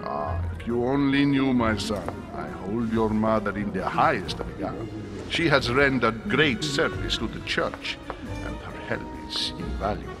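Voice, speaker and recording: A grave, secretive senior churchman in a cinematic adventure game. His speech is ceremonious and fatherly, with careful pauses and a lively melodic range. Music plays clearly behind him. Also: fairly low pitch. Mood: courteous, guarded. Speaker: actor in a narrative adventure game. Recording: game dialogue over background music.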